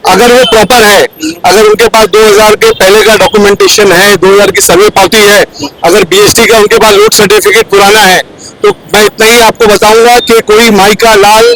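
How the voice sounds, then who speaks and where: A man's voice: sits high, steady tone, moderate pace, low-quality recording. A man arguing his side in a street interview, insistent and argumentative. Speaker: local party worker. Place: busy street outdoors. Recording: loud crowd outdoors.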